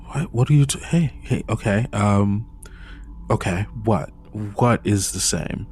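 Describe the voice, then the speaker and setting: A man's voice: lowish voice, animated, unhurried, a little mellow, a little hiss or hum. A man playing a tabletop game, hesitant, full of restarts and filler words. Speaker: player. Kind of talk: tabletop roleplay chat.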